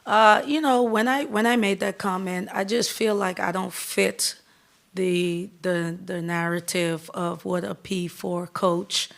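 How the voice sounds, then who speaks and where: A woman coach in her thirties from the Bahamas, answering press questions in an upbeat, candid way with quick restarts. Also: medium pitch, steady tone, vocal fry. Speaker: head basketball coach.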